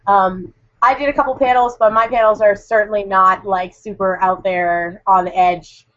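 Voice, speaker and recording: A woman's voice: mid-range voice, fairly even intonation, normal speed, fairly bright tone, no room sound. A woman reviewing a convention in a chatty, rambling way while getting over a cold. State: recovering from a cold. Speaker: convention correspondent. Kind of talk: casual podcast review. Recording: dull lo-fi sound.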